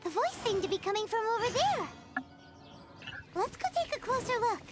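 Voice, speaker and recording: Very high, squeaky little creature. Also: female voice, big pitch swings, normal speed. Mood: curious. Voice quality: squeaky, childlike. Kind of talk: anime video game voice acting. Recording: game music behind.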